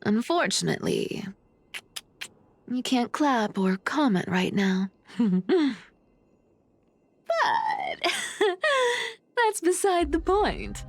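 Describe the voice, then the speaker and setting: A woman voicing a sly, smug trickster in a video game, theatrical and teasing, with a young adult sound. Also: medium pitch. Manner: teasing, mock-sweet, chuckling asides. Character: sly, smug, playful female trickster with ancient secrets. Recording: music behind the voice. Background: game music.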